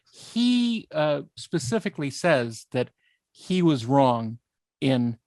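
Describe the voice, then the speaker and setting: Male podcast co-host, very creaky voice, dry close sound, hesitant, halting casual talk. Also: on the high side, sing-song, a little mellow, no echo. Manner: hesitant, searching for words, many ums. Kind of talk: casual panel discussion.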